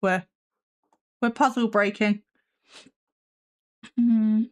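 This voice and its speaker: Sarcastic woman, slow drawl. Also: medium pitch, fairly even intonation, muffled recording. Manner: sassy, skeptical, swears casually. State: losing her voice. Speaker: livestream host reacting to videos. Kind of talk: sarcastic live reaction commentary.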